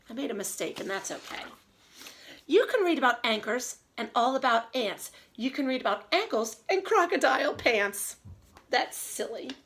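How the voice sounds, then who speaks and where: A woman teacher reading aloud and giving a lesson to young children in an expressive, child-directed way. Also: on the high side. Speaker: early elementary teacher.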